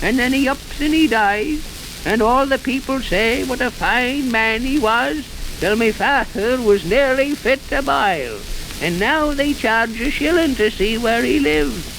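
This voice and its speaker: An actor playing a garrulous, aggrieved old blacksmith with a rural English accent in an old-time radio drama. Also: male voice, very high voice, exaggerated voice. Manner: folksy, grumbling, repeats himself for emphasis. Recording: noisy, hissy, crackling old radio recording.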